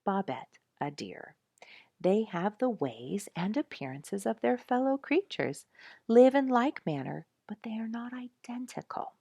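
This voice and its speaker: A woman narrating a nature story from a script in a measured, emphatic storytelling manner. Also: fairly high pitch, very animated, vocal fry, mellow, husky, dry recording, muffled recording. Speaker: audiobook narrator reading a nature memoir.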